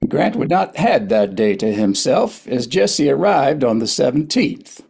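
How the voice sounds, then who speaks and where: Wry history-play narrator, male reader, raspy with fry and lively intonation, scripted delivery. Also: mid-range voice, unhurried, full character voice.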